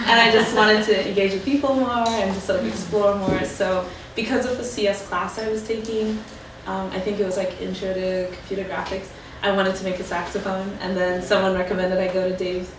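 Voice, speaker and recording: A woman on a career panel who gives casual, chatty advice with many fillers. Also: mid-range voice. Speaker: alumna panelist. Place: lecture room. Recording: echoey room, distant mic, background noise.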